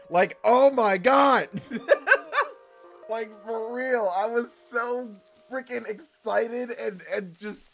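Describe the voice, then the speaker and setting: Giggly guy chatting to viewers, high bright voice, bit of music behind. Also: vocal fry. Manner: giggly, excitable, gushing. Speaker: voice actor, channel host. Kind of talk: casual chat to viewers.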